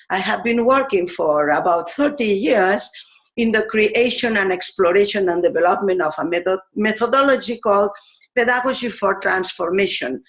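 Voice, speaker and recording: A woman in her fifties from Spain with a Spanish accent, a teacher explaining ideas in a thoughtful way, pausing often with fillers. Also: medium pitch. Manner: thoughtful, pauses with many fillers. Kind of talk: explanatory talk on pedagogy.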